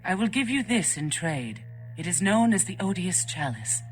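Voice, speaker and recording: A grave vampire woman from a video game, with a very low voice that rises and falls widely. She is formal and ominous, with music behind her. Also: big pitch swings, moderate pace, rough recording. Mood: ominous, intrigued. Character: grave, eerie female vampire trading in occult relics. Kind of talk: video game character acting.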